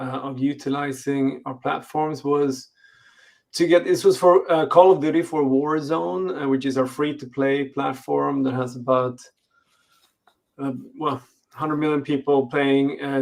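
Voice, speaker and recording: A man's voice: fairly high pitch, vocal fry. A middle-aged man with a Swedish accent, a company executive answering interview questions over a video call, hesitant with lots of fillers and restarts. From Sweden. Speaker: games company executive guest.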